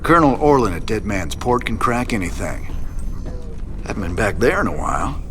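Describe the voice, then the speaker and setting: An actor playing a gruff, brooding, wry rebel commander in a video game, talking in a dry, laconic drawl. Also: male voice, on the low side, sing-song, normal speed, fairly bright tone, slight background noise.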